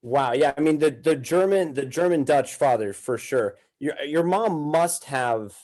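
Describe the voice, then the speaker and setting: A man's voice: a little high, a little mellow, dry recording. Casual man in a dry, close recording. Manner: halting, repeats words as he talks. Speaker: podcast guest. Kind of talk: casual chat.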